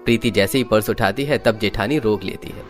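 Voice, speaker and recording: A man's voice: lowish voice, a little fast. Male cartoon storyteller over music. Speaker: narrator. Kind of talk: scripted storybook narration for a cartoon. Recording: music under the voice.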